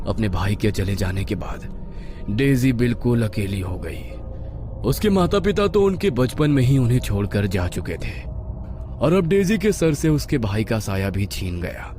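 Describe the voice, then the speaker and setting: A man reading a scripted horror story as a dramatic narrator. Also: mid-range voice. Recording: music behind the voice.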